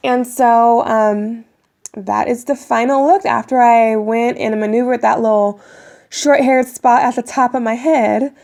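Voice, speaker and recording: A young woman with a raspy voice from a cold, chatting casually to viewers while demonstrating a hair tutorial at home. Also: fairly high pitch, fairly even intonation, measured pace, darkish tone. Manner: chatty, addresses viewers as you guys, many ums. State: raspy voice getting over a cold. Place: bedroom at home, indoors.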